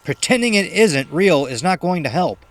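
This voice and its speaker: A man playing a steady, commanding man who leads frightened friends in a horror story, earnest and insistent. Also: sits high, moderate pace, voice pushed up.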